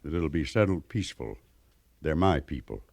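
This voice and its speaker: A man's voice: rumbling low, expressive, moderate pace, vocal fry, darkish tone, dry recording, exaggerated voice. An American actor playing a plainspoken, weathered mountain man scout in a western radio drama, with a folksy rustic drawl. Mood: grave, urgent.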